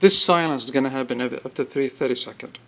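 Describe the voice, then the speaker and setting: A man presenting an informal product demonstration, explaining step by step in halting phrases and repeating himself. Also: medium pitch, vocal fry, very noisy. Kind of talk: informal spoken product demo.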